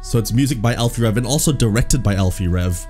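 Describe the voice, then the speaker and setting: Excited man reacting over background music. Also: fairly low pitch, fast talker. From Borneo, Malaysia. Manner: enthusiastic, exclaiming in wonder. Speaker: YouTube reaction host. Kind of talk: casual reaction commentary. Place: small home studio room. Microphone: boom-arm studio mic. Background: music playing from the video.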